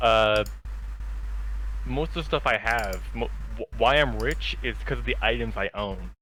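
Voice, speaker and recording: A man's voice: mid-range voice, measured pace, sharp tone, a little hiss or hum, rough recording. A man co-hosting a podcast, chatting casually with friends and often repeating words as he explains things. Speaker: podcast co-host.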